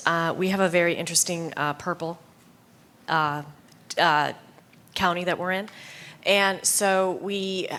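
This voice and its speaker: A woman's voice: fairly low pitch, sharp tone. A woman bookseller speaking on a conference panel, hesitant with many fillers. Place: conference session room.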